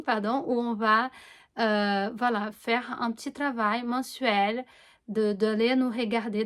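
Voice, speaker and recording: Higher-voiced woman explaining earnestly. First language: Portuguese. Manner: earnest, halting, with many repeated words. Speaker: astrologer. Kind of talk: casual explanation to camera.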